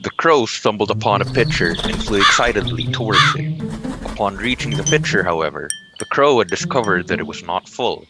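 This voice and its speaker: A man's voice: on the low side, lively intonation, brisk. A man narrating a scripted storybook tale as the storyteller. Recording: loud music behind the voice.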